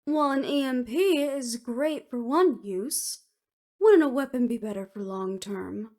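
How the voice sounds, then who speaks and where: A woman's voice: fairly high pitch, animated, unhurried, a little mellow. A woman playing a cool, determined woman official in an audio roleplay, with clipped, businesslike delivery. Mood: skeptical. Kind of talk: scripted audio roleplay acting.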